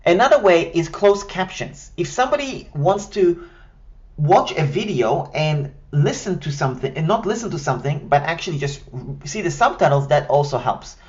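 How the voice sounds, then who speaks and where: A man's voice: a little high, sing-song, moderate pace, muffled recording. A man from Israel, a native Hebrew speaker with an Israeli accent, giving a scripted, step-by-step tutorial on building an online course. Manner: structured, step-by-step, direct.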